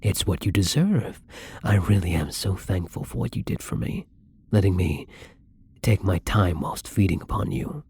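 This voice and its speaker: A man playing a gentle, caring vampire in an intimate ASMR roleplay, speaking softly and tenderly with a husky, breathy voice. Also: sits low, expressive, moderate pace, a little hiss or hum. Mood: earnest, apologetic. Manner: soft-spoken, tender, breathy.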